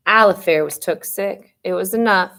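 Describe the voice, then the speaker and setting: A woman narrating a first-person historical novel as an audiobook storyteller, with expressive reading. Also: medium pitch, normal speed. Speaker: reader of an audiobook chapter.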